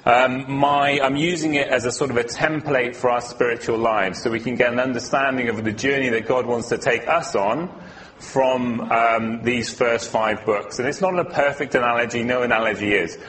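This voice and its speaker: A man's voice: medium pitch, steady tone, moderate pace, fairly bright tone, a little hiss or hum, low-quality recording. A man from Wales giving an earnest, informal teaching talk as a guest speaker at a church, with a few fillers.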